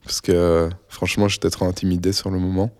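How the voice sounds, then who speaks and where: A young Belgian musician speaking in a low voice with little rise and fall. He answers interview questions casually, pausing often with fillers. His manner is relaxed and unhurried. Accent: Belgian French. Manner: relaxed, hesitant, many fillers.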